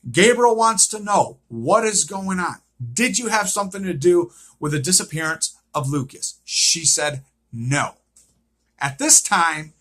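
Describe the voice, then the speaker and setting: A man's voice: a little high, fairly bright tone. A man giving an unscripted, casual recap of a TV series, repeating himself and often checking in with a questioning okay. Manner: repetitive, checks in with frequent "okay?".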